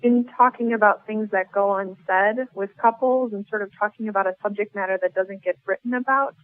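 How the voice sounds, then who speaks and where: A woman's voice: medium pitch, fairly even intonation, brisk, narrow, tinny audio. A woman speaking casually as a playwright being interviewed.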